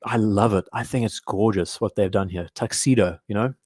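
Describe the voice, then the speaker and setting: A young man hosting a live watch show from home in casual commentary, his voice hoarse and strained, often clearing his throat. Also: on the low side, moderate pace, a little mellow, no echo, studio quality.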